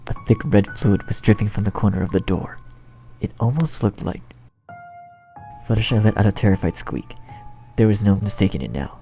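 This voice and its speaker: A man reads a story aloud as narrator, in a lowish voice with plenty of creak. The tone is mellow, and the home recording is a little dull with faint noise. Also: lively intonation, moderate pace, pauses often, faint music behind. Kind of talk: scripted storybook narration of fan fiction.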